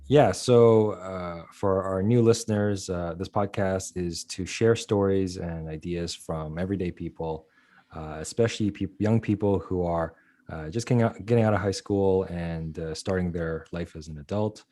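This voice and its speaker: Mellow, deep-voiced male co-host. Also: normal speed. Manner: relaxed, with frequent "uh"s. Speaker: podcast co-host. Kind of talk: casual podcast talk.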